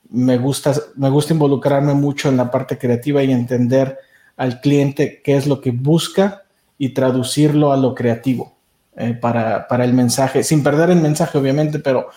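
A man's voice: medium pitch, warm tone, reverberant. A man whose first language is Spanish, a media business owner answering interview questions thoughtfully, with frequent restarts.

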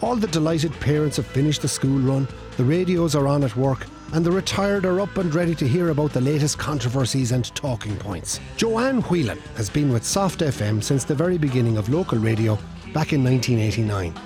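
A man's voice: medium pitch, animated, a little fast, a little mellow, faint music behind, natural voice. Male actor as wry Irish documentary narrator, mid-range voice, scripted, matter-of-fact radio comedy. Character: wry narrator of local radio mockumentary, man.

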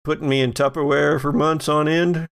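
Man playing casual, wry guy, creaky voice. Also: a little high, flat delivery, moderate pace, a bit bright.

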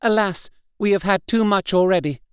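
A brave, sorrowful young woman voiced by a man speaks softly and earnestly. His voice is lifted high and smooth, recorded close and dry. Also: fairly even intonation, moderate pace, no echo, close to an everyday voice.

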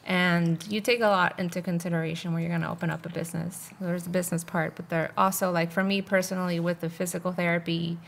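Gravelly-voiced woman, calm and even. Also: lowish voice, quick pace, few pauses, a little hiss or hum. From Miami. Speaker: physical therapist. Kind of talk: casual interview answers.

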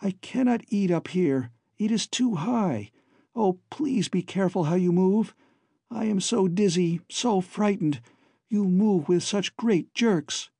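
A frightened young woman, tiny and held captive, begs in a high, breathless voice, put on by a male narrator. Her tone stays mellow and a little husky. The sound is dry and close. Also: lively intonation, a little slow, dry recording, full character voice. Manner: pleading, breathless.

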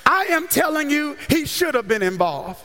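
An American man preaching a sermon with an emphatic, repetitive, call-and-response cadence, often rising into shouts. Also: extremely high pitch, very animated, normal speed. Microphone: handheld or stage microphone. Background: music under the voice.

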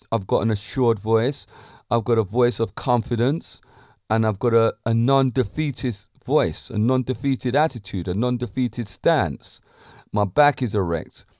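A man's voice: mid-range voice, measured pace, darkish tone, dry recording, studio quality. A man hosting a podcast, giving a self-assured motivational monologue full of emphatic points and rhetorical questions. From Britain. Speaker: podcast host.

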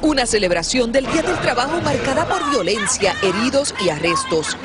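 Tv news lady, lively up and down voice, loud crowd and street noise behind. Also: a little high, normal speed. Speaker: TV news reporter. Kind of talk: TV news report.

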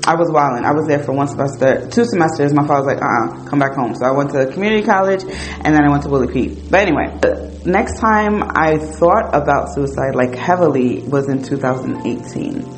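A woman's voice: deep voice, fairly quick, poor audio. A woman in her forties from New Jersey, hosting a podcast and telling a personal story in a candid, conversational way with lots of fillers. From New Jersey, United States. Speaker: podcast host. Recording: music playing behind the voice.

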